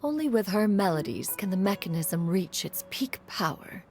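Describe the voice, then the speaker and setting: A woman's voice: mid-range voice, sing-song, moderate pace, darkish tone, a little hiss or hum. A woman voicing an ancient, aloof female adeptus who speaks in a formal, archaic way. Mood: composed. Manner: archaic formal speech, calls herself "one". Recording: faint music underneath.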